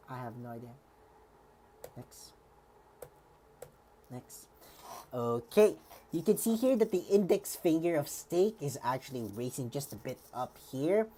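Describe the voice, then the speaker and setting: Very low-voiced woman streamer, rambling. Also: mellow, a little hiss or hum. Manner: rambling and hesitant, heavy on fillers and restarts. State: slightly worn voice. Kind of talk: live-stream reaction commentary.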